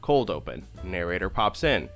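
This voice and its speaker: A man's voice: deep voice, very animated, moderate pace, a bit bright, soft background music. A young man narrating a scripted video review in a casual way with wry asides, close to a studio microphone. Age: young adult. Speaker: YouTube reviewer. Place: small home room, indoors. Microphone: close studio microphone on boom arm.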